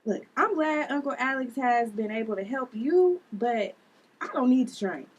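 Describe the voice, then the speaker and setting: Woman acting a husky, guarded sister. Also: fairly high pitch, lively intonation, normal speed, poor audio. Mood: irritated, reluctant. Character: guarded, suspicious, reluctant superpowered sister. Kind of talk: table read of a screenplay.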